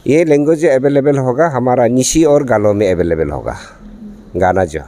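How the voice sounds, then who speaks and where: A middle-aged man, the event organizer, speaking to news cameras in a church hall with halting pauses and fillers. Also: mid-range voice, animated, dark tone. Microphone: handheld news mics in front.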